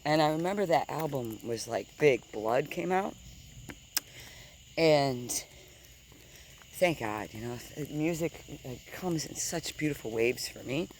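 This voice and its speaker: A deep-voiced woman in her thirties telling a rambling, digressive story while walking outdoors, swearing casually and sometimes dropping to a whisper. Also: animated, measured pace, pauses often, darkish tone, a little hiss or hum. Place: outdoors on grass at night with insects.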